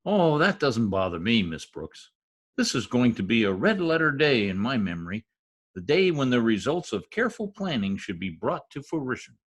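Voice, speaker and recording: A man's voice: medium pitch, big pitch swings, normal speed. A man playing an eager, mischievous teenage schoolboy in an old-time radio comedy, with an earnest, gushing manner and flowery words.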